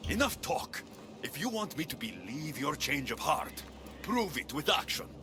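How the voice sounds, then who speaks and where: An actor playing a distrustful Greek mercenary hero in a video game cutscene, curt, sardonic and challenging. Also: male voice, fairly high pitch, very animated, normal speed. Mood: angry. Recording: music behind.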